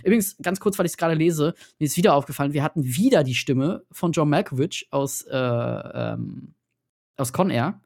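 A podcast co-host chatting casually about a film, lively at times and trailing off quietly at others. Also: mid-range voice, moderate pace, creaky.

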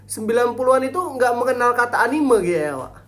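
A man hosts a relaxed podcast chat. He steers the topic in loose, slangy banter. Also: very high voice, fast talker. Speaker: podcast host. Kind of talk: casual chat.

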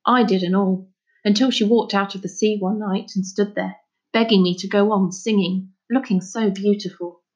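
A woman's voice: mid-range voice, steady tone, moderate pace, a little mellow, dull audio, lightly acted. A woman voicing a stern, troubled father in a storybook, with blunt, scolding, folksy speech. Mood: resigned, confiding. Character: stern, anguished Scottish father, gruff and scolding.

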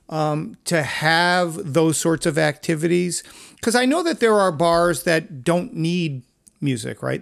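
A man with an American accent hosting a casual interview, building long questions haltingly, piece by piece. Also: fairly high pitch, vocal fry. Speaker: podcast host, interviewer.

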